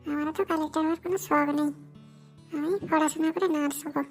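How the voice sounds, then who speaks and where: A woman voicing a chatty, cheeky schoolgirl with a sassy, animated manner in a comedy cartoon. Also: high voice, fast talker, faint music behind. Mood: indignant.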